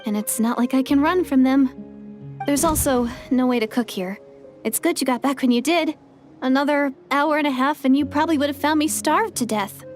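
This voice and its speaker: Woman as a high-voiced, flustered young girl. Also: normal speed, soft background music, close to an everyday voice. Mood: anxious. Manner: flustered, hesitant, pleading. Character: jumpy young girl, apologetic, needy, evasive. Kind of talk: anime-style video game voice acting.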